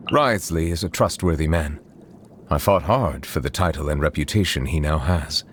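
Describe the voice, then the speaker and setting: A man playing a reserved, eloquent chief judge in a video game, speaking in a formal and measured way. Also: a bass voice, slight background noise. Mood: thoughtful, measured. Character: formal, reserved male chief judge, secretly a water dragon. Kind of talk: scripted game character voice lines. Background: music.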